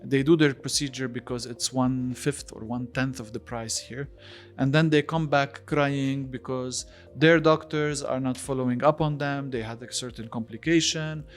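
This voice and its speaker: A man's voice: mid-range voice, fairly even intonation, normal speed, hardly any pauses. Man explaining over faint music. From Lebanon. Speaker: interview guest, plastic surgeon. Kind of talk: conversational podcast interview.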